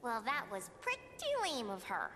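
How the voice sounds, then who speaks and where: Squeaky-high perky young treasure huntress. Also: female voice, huge pitch swings, normal speed, pushed and bright, a little hiss or hum, full character voice. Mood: flippant. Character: perky young female treasure hunter, flippant and playful. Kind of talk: video game cartoonish voice acting. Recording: reverberant game audio with faint music.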